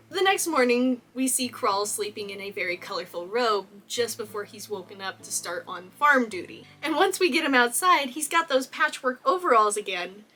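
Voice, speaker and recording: Bright-voiced, chatty young woman. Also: fairly high pitch. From Texas. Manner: chatty and enthusiastic, laughs often. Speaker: cosplayer and video host. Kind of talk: casual movie costume analysis.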